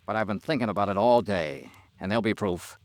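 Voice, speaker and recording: An American actor playing a tough, relentless, righteous insurance investigator in a 1950s radio drama, with a hard-boiled, insistent manner. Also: male voice, lowish voice, fast, darkish tone, no room sound, lightly acted. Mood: accusing, determined.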